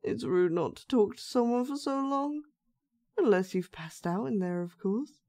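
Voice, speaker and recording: A woman's voice: mid-range voice, lively intonation, moderate pace, a little mellow, lightly acted. A woman playing an unhinged, sweetly sinister young dollmaker in a horror audio roleplay, with childlike, sing-song menace. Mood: calmly menacing.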